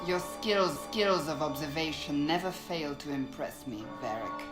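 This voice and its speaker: A woman's voice: sits low, a little slow. An actress playing a blunt, commanding female warrior in a game. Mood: firm. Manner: firm and commanding. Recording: echoey game audio with music.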